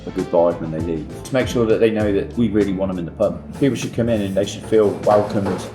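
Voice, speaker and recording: A man's voice: sits low, quick pace, music in the background. A middle-aged man, a pub landlord, answering interview questions in short, clipped phrases.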